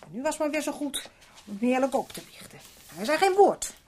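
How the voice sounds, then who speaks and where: Een vrouw die in een hoorspel een verontwaardigde, bazige huishoudster uit de volksklasse speelt, mopperend en aandringend. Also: female voice, high-pitched, big pitch swings, brisk, warm tone. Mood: resentful. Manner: scolding, insistent, grumbling. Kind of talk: Dutch radio play acting. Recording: old radio recording with faint noise.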